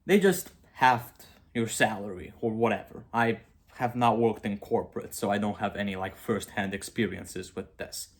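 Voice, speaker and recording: A man's voice: on the low side, moderate pace. A young man with a Romanian accent, talking casually to camera about philosophy, rambling and self-mocking with frequent asides. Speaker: video creator.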